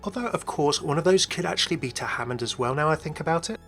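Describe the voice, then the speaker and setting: A man's voice: a little high, lively intonation, fast, a bit bright, faint music behind. A man hosting a video game lore commentary, speculating aloud and speaking to the viewer in conversational asides. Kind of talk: scripted-sounding video commentary.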